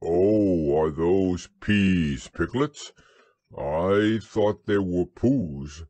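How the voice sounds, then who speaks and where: A man voicing a proud, simple-minded, earnest storybook bear with a growly voice and slow, deliberate speech. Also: sits low, animated, dark tone, slightly rough, dry recording, heavily acted. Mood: puzzled.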